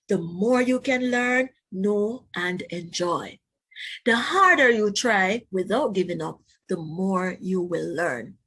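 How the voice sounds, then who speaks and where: A woman teacher reading a children's book aloud, pausing to explain and put questions to young listeners. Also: medium pitch, a bit bright.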